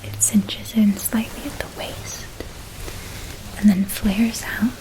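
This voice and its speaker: Woman acting a gentle witch in a breathy whisper. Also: mid-range voice, flat delivery, close to an everyday voice, low-quality recording. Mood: gentle, caring. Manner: soft, unhurried, doting, lingering on details. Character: gentle, nurturing witch helping a young student pack. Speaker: ASMR roleplay performer. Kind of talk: whispered ASMR roleplay.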